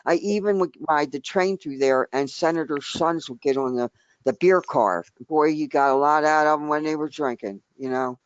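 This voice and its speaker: A man in his sixties from the area near Washington D.C., speaking in a halting, emphatic way with many restarts during a casual group discussion. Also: a little high, clear, resonant tone. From United States, near Washington D.C. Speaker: open mic speaker. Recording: dry, dull lo-fi recording.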